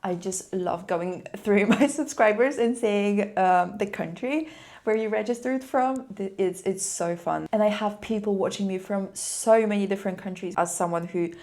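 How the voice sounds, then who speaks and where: A young woman who is a native Polish speaker, chatting casually and quickly in a vlog, with frequent light laughs. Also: mid-range voice, a little mellow. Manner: fast-talking, laughs often, upbeat. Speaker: language-learning vlogger.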